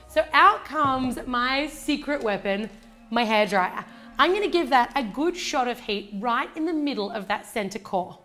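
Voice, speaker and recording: A woman's voice: fairly high pitch, a bit bright. A woman in her thirties with an Australian accent, hosting a cooking demonstration in a home kitchen and chatting through each step. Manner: chatty, upbeat step-by-step instructions. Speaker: baking channel host.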